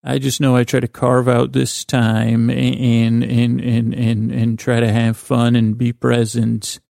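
A man hosting a bedtime podcast in a creaky, lulling voice, meandering gently and haltingly through a soothing monologue and often interrupting himself. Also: medium pitch, completely flat delivery, no room sound, clean studio sound. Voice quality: creaky, gravelly. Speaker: podcast host.

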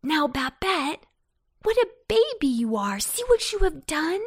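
A young storybook boy acted by a woman, high-pitched and earnest. He is a bossy big brother who exclaims with indignation, his pitch leaping about. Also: huge pitch swings, slow, fairly bright tone, dry recording, studio quality, exaggerated voice. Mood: scolding. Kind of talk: children's storybook character voice.

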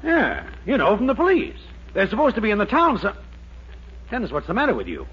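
A man's voice: high voice, wildly swooping pitch, brisk, a bit bright, husky, a faint crowd, heavily acted. An actor playing a chatty, easily puzzled lawman in a 1940s radio sitcom. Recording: very thin, phone-like old radio recording.

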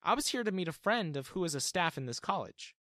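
A man's voice: high voice, sing-song, fast talker, a bit bright, dry recording, studio quality, pitched above the performer's own voice. A man voicing a scheming, flirtatious middle-aged woman who talks in a wheedling, insistent way. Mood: fearful.

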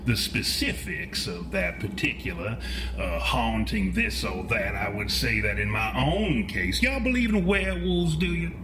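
A man's voice: mid-range voice, big pitch swings, unhurried, music in the background. A man playing a folksy, saucy townsman and handyman with a Southern US drawl in an improvised role-play, wordy and polite. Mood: folksy, hospitable.

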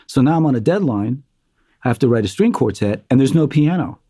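A man, a musician being interviewed, telling animated stories and acting out what others said, with many fillers. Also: medium pitch, fairly quick, breathy edge, no room sound, studio quality. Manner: animated storyteller, acts out quotes, many fillers. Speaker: guest, musician. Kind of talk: conversational interview storytelling.

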